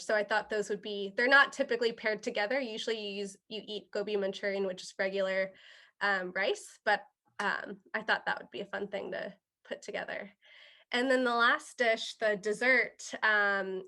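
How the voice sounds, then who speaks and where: A woman's voice: mid-range voice, bright, forceful tone. A young woman, a guest chef, talking casually and patiently about her dishes, with many small fillers and restarts. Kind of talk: casual interview, explaining dishes.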